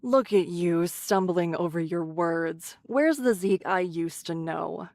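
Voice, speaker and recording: A woman voicing a caring, brisk shelter leader who scolds in a motherly, teasing way, in an English anime game. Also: lowish voice, darkish tone, no room sound. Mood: teasing, scolding.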